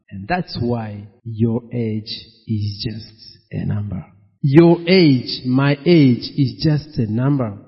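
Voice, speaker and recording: A pastor preaching a sermon, slow and emphatic, repeating his key phrases. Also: mid-range voice, breathy edge. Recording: dull, echoey hall.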